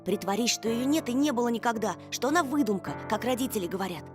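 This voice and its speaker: Actress as firm adult guardian, quick admonishing delivery, visual novel drama, prominent music. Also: female voice, fairly high pitch, animated, natural voice. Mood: hushed insistence. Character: firm, dismissive adult looking after a child. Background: game music.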